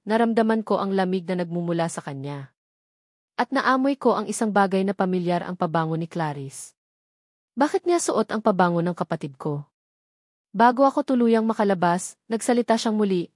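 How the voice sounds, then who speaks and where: A woman with a Filipino accent reading a scripted first-person dramatic story in an earnest, measured storytelling manner. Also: medium pitch, animated, darkish tone, dry recording, studio quality. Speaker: story narrator.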